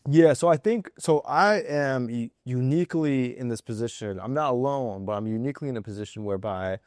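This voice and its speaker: A man's voice: mid-range voice, brisk, darkish tone, dry recording. A man from the Chicago suburbs, a fashion designer guest in a studio interview, speaking earnestly with many restarts. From Chicago suburbs, USA. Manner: earnest, restarts a lot, says "yo" and "of course". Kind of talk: casual interview chat.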